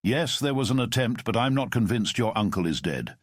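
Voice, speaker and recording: A man voicing a calm, commanding middle-aged former spy who speaks in a measured, formal way. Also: medium pitch, no echo, clean studio sound. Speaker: storyteller. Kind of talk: audio drama storytelling.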